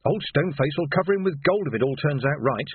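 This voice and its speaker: Indignant gossiping helper, read by a male narrator: chattering, flustered, lively pitch, phone-like audiobook sound. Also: fast talker, breathy edge, no echo. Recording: narrow phone-like band.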